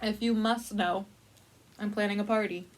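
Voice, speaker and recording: A woman's voice: medium pitch, steady tone, normal speed, pitched below the performer's own voice, natural voice, low-quality recording. A woman voicing a grumpy, exasperated storybook alligator with an irritated, matter-of-fact way of complaining. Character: exasperated, grumpy alligator who just wants peace. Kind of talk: storybook read-aloud dialogue.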